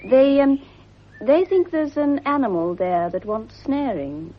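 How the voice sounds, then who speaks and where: An actress playing a kindly, confident young gentlewoman, polite and coaxing, in a 1950s British radio drama. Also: high-pitched, a little slow. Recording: muffled, slightly noisy vintage radio recording.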